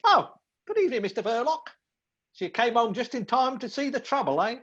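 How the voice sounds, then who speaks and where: An actor in a live radio play, playing a cheeky, persistent young undercover detective with a breezy, theatrical manner. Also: male voice, very high-pitched, big pitch swings, brisk, a bit bright, lo-fi sound, full character voice. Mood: breezy, teasing.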